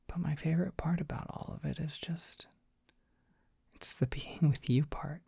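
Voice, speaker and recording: A performer playing a dorky, gentle young dragon boy in an ASMR roleplay, speaking in a soft breathy whisper, tender and bashful. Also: medium pitch, moderate pace, no echo, studio quality, exaggerated voice. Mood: fond, bashful. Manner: tender, bashful, hesitant, softly reassuring. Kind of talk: ASMR roleplay, intimate comforting monologue.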